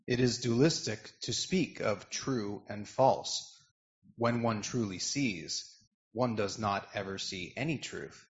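A man playing a calm bodhisattva in an amateur dramatic scripture reading, measured and earnest. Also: lowish voice. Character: calm male bodhisattva expounding wisdom. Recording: dull lo-fi recording.

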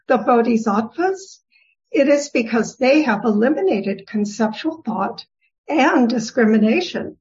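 A woman reading the part of a serene, wise goddess who gently teases, in a formal scripture reading with deliberate delivery. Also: on the high side. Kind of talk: dramatic reading of Buddhist scripture. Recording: dull, lo-fi recording.

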